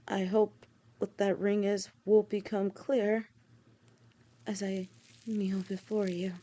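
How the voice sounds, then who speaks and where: A woman voicing a devoted young lamia woman with a snake tail, speaking softly to her partner in an audio roleplay, halting and sometimes stammering. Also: medium pitch, creaky. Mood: nervous, tender. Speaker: girlfriend in a listener roleplay.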